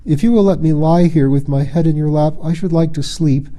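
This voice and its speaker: A proud young fairy-tale man, acted out by a male reader, frightened and sulky. He declaims with indignation, his pitch lifted above a very mellow, dark timbre. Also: brisk, lightly acted. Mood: weary, pleading.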